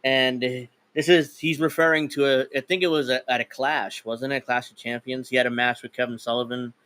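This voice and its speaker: A man's voice: medium pitch, steady tone, fairly quick, a bit bright. A man co-hosting a casual wrestling chat, rambling and laughing often. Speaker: podcast co-host.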